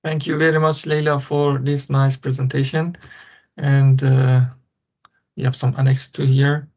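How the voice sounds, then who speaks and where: A man hosting a webinar, introducing events and speakers haltingly with many filler sounds over a video call. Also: mid-range voice, little pitch movement, darkish tone. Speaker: webinar host. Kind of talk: hesitant event moderation. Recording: dull lo-fi web call audio.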